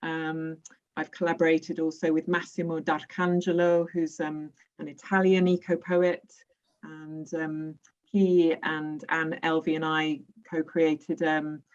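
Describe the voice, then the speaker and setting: A woman poet answering questions in an online session, speaking in a thoughtful, measured way with frequent pauses. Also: low-pitched, little pitch movement, darkish tone, clean tone. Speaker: poet and workshop leader. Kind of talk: online talk and Q&A. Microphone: video call. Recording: lo-fi video call audio.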